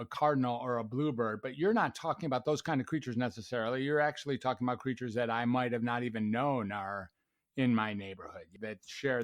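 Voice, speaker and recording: Male host, mid pitch, conversational and affirming, frequent restarts. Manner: chatty, affirming, with restarts and "mm-hmm"s. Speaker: podcast host and psychiatrist. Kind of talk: casual interview chat.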